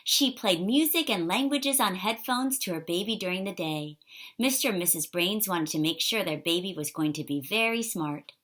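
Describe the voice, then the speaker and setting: A woman reading a children's picture book aloud in an expressive, animated way for young listeners. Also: fairly low pitch, moderate pace. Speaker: storybook reader.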